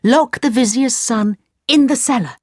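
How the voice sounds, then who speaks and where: A woman's voice: fairly high pitch, normal speed, a little mellow, no room sound, studio quality, pitched above the performer's own voice, close to an everyday voice. A woman voicing an eager, commanding young man hero in a storybook reading.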